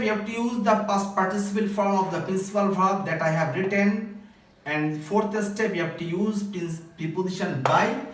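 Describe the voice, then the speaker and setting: A man with a Bangladeshi accent teaching English grammar step by step, in a repetitive, phrase-by-phrase instructional way. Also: high-pitched. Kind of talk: recorded grammar lesson, step-by-step explanation. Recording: echoey room, distant microphone.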